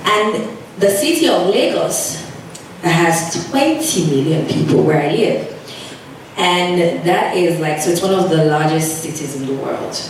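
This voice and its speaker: A woman with a Nigerian accent gives a conference talk, speaking slowly and with emphasis in a fairly low voice. The sound is very echoey and picked up from a distance in a large hall, with faint background noise. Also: lively intonation. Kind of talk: conference presentation.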